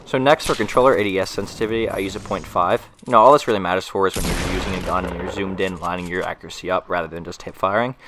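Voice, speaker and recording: A man's voice: low voice, fairly even intonation, very fast, hardly any pauses, noisy background. A young man with a stuffy nose from a cold, talking loosely through a gaming tutorial voice-over with many fillers and restarts. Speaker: gaming YouTuber.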